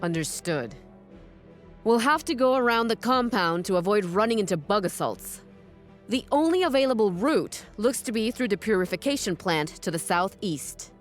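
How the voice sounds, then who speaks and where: A tough, battle-hardened colonel played by a woman in a sci-fi war game. Her delivery is clipped and commanding, with lively swings in pitch, over a music bed. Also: normal speed, close to an everyday voice. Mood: questioning, resolute. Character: tough, battle-hardened female colonel leading infantry against alien bugs. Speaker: military field officer in a strategy game. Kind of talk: video game cutscene acting.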